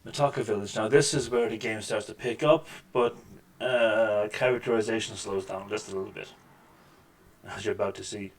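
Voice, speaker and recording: A man with a deep voice hosting a casual let's-play, making wry, joking remarks over the gameplay at a desk microphone. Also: fairly even intonation, moderate pace. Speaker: gaming channel host. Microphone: desk microphone with mixer.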